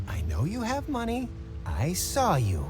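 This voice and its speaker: An actor playing a smarmy, pushy small-time swindler boss in an anime game, wheedling and theatrical. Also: male voice, high voice, full character voice. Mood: angry, insistent. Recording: loud music behind.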